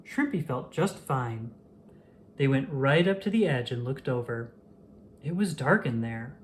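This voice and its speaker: A storyteller reading a children's picture book aloud in an expressive, animated way. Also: medium pitch, a little hiss or hum. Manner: animated, acts out the dialogue.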